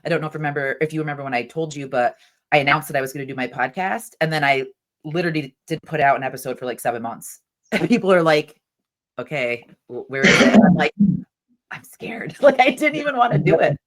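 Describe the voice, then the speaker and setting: A woman's voice: low-pitched, animated, quick pace. A woman hosting a podcast in casual interview chat, chatty and enthusiastic, full of fillers like "like". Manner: chatty, enthusiastic, uses "like" a lot. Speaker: podcast host.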